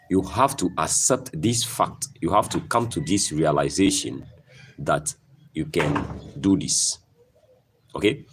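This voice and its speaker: Deep ghanaian guy preaching about farming, voice jumps up and down a lot, chickens and faint outdoor noise behind. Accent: Ghanaian English. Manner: emphatic, repeats phrases and keeps asking "okay?". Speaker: poultry farmer. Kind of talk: motivational farming talk.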